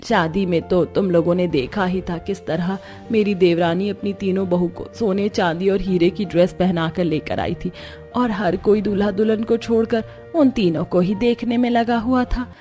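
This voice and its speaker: A woman's voice: mid-range voice, steady tone, moderate pace, dark tone, soft background music, close to an everyday voice. A woman voicing a worried, humble middle-aged mother-in-law in a family cartoon. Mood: troubled.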